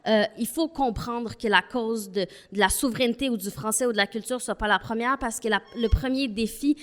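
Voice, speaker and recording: A woman's voice: fairly high pitch, fairly quick. Earnest woman. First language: not French. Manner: earnest, thoughtful, argues step by step. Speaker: panel guest. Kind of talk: panel discussion.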